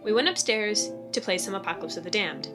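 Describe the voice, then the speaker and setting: Anxious, snarky teenage boy played by a woman, first-person fan-dub narration, mid-pitched, game music clearly behind. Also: quick pace, close to an everyday voice. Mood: narrating. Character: teenage boy Jeremy, anxious, sarcastic, narrating his story. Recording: amateur old-device recording, music behind. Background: video game music.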